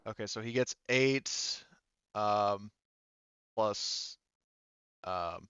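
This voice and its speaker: A man refereeing a casual tabletop game as game master, halting and thinking aloud as he checks rules. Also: on the low side, a bit bright, clean tone, no echo, lo-fi sound.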